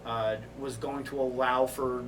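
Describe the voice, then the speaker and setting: A man with a mid-pitched voice tells a personal story as a podcast guest. His delivery is candid, reflective and casual. He sounds a bit away from the microphone, with noticeable noise around him. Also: flat delivery, a little slow, recorded from a distance. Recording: off-mic with clear background noise.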